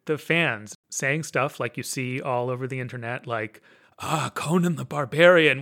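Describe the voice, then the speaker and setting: Bright-voiced wry male podcast host. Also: on the high side. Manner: thoughtful, wry, chuckles between phrases. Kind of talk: casual conversational film-score podcast chat.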